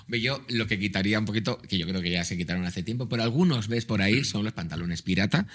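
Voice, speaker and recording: A man co-hosting a podcast, with a somewhat low voice and a chatty manner, dropping in quick reactions and questions as the conversation runs. His delivery is relaxed and conversational. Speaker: podcast host. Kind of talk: casual chat.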